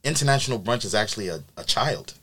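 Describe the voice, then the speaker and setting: A man's voice: lowish voice, fast. A man who grew up in New York, an event planner chatting casually on a podcast, telling his story with plenty of ums and likes. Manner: relaxed storytelling with many fillers.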